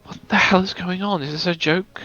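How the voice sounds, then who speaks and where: A man's voice: high-pitched, steady tone, fairly quick, bright, forceful tone, highs cut off. A man who is not a native English speaker, venting in casual chat as a stream co-host. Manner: frustrated venting, restarts often.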